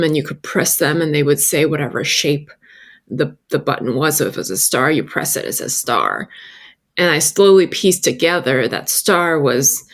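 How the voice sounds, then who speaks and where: A woman from China and New York, a native Chinese speaker, speaking as an interview guest in a measured, articulate, reflective way. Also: low voice. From born in northern China, raised partly in New York. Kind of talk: reflective personal storytelling in an interview.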